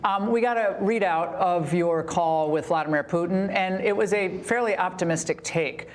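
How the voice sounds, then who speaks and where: A woman's voice: on the low side, moderate pace. A woman asking questions in a broadcast news interview style.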